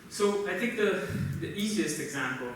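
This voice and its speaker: A man with a Brazilian accent, a native Portuguese speaker, gives a technical conference lecture in a halting, explanatory way. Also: sits high, animated. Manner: halting, explanatory, often checks with "right?". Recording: roomy, noisy conference hall, distant mic.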